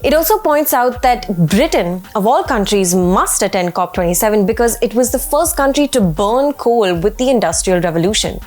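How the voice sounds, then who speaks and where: A woman presenting a scripted news explainer in a measured, explanatory manner. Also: on the high side, expressive, a little mellow, soft background music.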